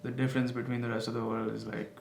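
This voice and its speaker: A man's voice: medium pitch, flat delivery, fast talker, creaky. Reflective male podcast host, roomy sound. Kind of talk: casual podcast conversation. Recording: roomy echo, faint noise.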